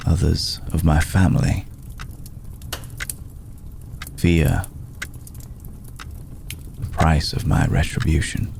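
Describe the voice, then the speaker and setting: An ancient vampire nobleman acted by a man speaks in an extremely low, gravelly voice. He is slow and deliberate, formal and brooding, protective yet vengeful, in a hushed ASMR roleplay. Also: steady tone, slight background noise, heavily acted. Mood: cold, vengeful. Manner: measured, formal, deliberate with long pauses.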